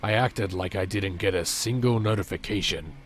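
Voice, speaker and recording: An actor playing a gruff, regretful boss who confesses and advises in an audio drama. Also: male voice, low-pitched, normal speed, close to an everyday voice. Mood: heavy, regretful.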